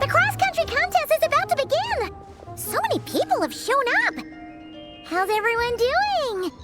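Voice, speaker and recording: A woman voicing a tiny, childlike, excitable fairy companion in an anime game, with a squeaky, bubbly delivery. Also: normal speed. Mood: excited. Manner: bubbly, exclamatory. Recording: loud music behind.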